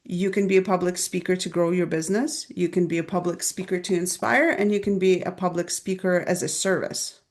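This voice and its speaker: A woman with a Belarusian accent speaks in a low, gravelly register with a touch of vocal fry. Her first language is Russian, and she talks in a measured way, laying out each point in order like a business strategist explaining a method. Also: steady tone. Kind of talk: podcast interview conversation.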